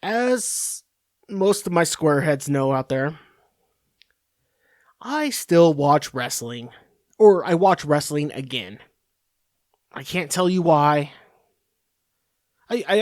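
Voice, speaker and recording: A man's voice: fairly high pitch, expressive, slow pace, long pauses, dry recording, studio quality. A man hosting a podcast, ranting in a profane, rambling, sarcastic monologue. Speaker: podcast host.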